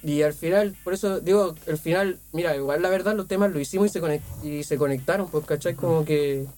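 A man's voice: a little high, a little fast, darkish tone, soft background music. A man in his thirties with a Chilean accent, a band member chatting casually in an interview, rambling with lots of fillers and slang. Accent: Chilean Spanish. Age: 30s.

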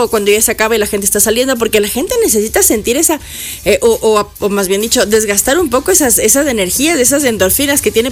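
A middle-aged woman hosting a morning radio show in casual chat, talking playfully and straight to her listeners. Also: a little high. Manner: chatty, playful, addresses listeners directly. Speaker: radio host. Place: radio studio. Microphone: studio microphone.

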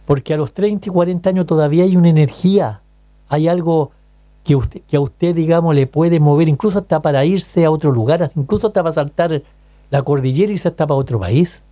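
A man's voice: a little high, fairly quick, dark tone, no echo. A man with a Chilean accent hosting a casual radio show, chatting to listeners with rhetorical questions and frequent check-ins. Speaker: radio host.

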